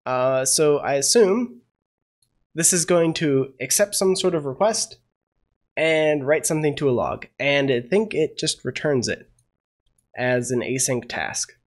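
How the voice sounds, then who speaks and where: Man coding aloud, slightly high voice. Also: animated, a little slow. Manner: thinking aloud, many "uh" fillers, unsure asides. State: getting over a cold. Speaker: programming tutorial host. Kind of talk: casual screen-share coding tutorial.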